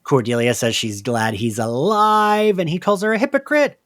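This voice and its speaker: A podcast host chatting casually and excitedly about an audio drama, with the gushing, giggly manner of a fan. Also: medium pitch, moderate pace, dry recording, studio quality. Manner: animated, gushing fan talk peppered with laughter.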